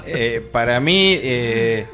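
A man with a Rioplatense accent playing a touchy, haughty showbiz critic who repeats himself haltingly on comic radio. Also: medium pitch, expressive, sharp tone, soft background music, full character voice. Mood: disdainful. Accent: Rioplatense Argentine.

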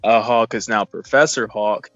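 Man with flat delivery chatting about film. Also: lowish voice, moderate pace, no echo, dull audio, soft background music. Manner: repetitive, filler-heavy, says "you know" often. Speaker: film review co-host. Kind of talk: casual movie review chat.

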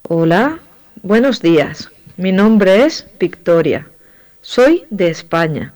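A woman from Spain introducing herself slowly and carefully for learners. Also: lowish voice, big pitch swings, a little mellow, poor audio. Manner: slow, careful self-introduction.

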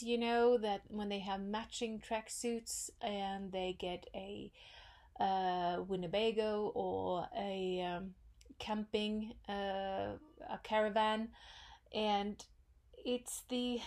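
A woman with a Norwegian accent hosting her own podcast, talking in a thoughtful, unhurried way with many pauses and fillers. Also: medium pitch, darkish tone. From Norway. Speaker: podcast host. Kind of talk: solo reflective podcast talk.

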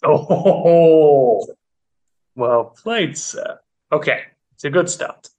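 A man's voice: on the high side, expressive, drawn-out words, a little mellow. A man with a slightly raised voice runs a quiz in casual podcast banter. He teases and jokes like a playful quizmaster. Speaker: podcast co-host running a quiz. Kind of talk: casual comedic chat.

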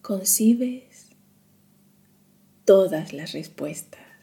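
Woman doing guided meditation, super slow w long pauses, mellow relaxed hushed voice, soothing. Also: medium pitch, gravelly.

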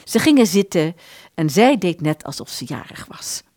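A woman narrates a literary radio drama. Her voice is on the low side, with animated intonation. The delivery is expressive storytelling, recorded dry with little room sound. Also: moderate pace, dry recording.

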